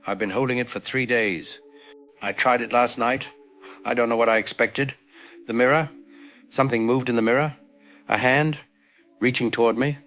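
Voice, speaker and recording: A man playing a grieving scientist recording a desperate, halting confessional log in an audio drama. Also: medium pitch, fairly even intonation, fairly bright tone, highs cut off, soft background music, natural voice. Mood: resigned, despairing. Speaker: doctor and researcher.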